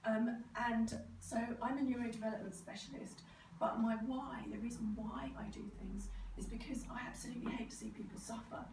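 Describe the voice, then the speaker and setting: A middle-aged British woman with a croaky, sore-throated voice, giving an informal, animated talk and sometimes mimicking other people. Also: fairly high pitch, a little fast. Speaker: speaker, neurodevelopment specialist. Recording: roomy, distant microphone, clear background noise.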